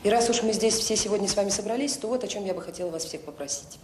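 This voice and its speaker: An actress playing a devoted, earnest director of a children's home, speaking in short emphatic phrases in a naturalistic radio drama. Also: female voice, racing, a little mellow, natural voice. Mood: earnest, persuasive. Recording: roomy sound with faint noise and rumble.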